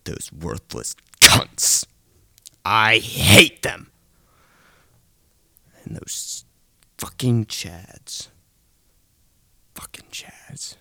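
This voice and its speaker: A man's voice: fairly low pitch, expressive, very slow, creaky, long pauses, sharp tone, dry recording. A young man delivering a seething, hateful rant, often dropping into a hissed whisper.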